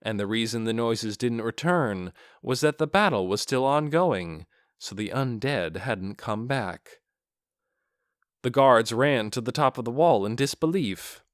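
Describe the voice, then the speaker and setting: A man's voice: mid-range voice, expressive, unhurried, no room sound, clean studio sound. A man reading scripted fantasy fiction as a dramatic audiobook narrator.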